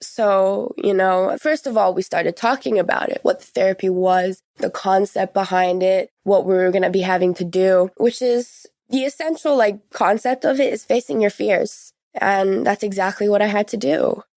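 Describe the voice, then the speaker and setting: A woman's voice: medium pitch, moderate pace, hardly any pauses, darkish tone, dry recording. Teenage girl, close dry sound, candid. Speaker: podcast guest. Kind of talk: candid personal interview.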